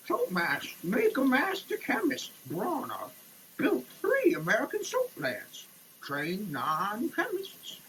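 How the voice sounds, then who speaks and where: A woman giving a dramatic reading in a theatrical, halting, declamatory manner. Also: sits high, fairly bright tone, slight background noise, low-quality recording.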